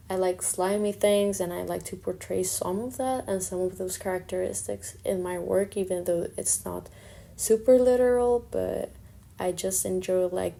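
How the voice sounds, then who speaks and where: Young Colombian woman with a creaky voice. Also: fairly low pitch, warm tone. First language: Spanish. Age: young adult. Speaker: artist and fine arts student. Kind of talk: informal self-introduction monologue.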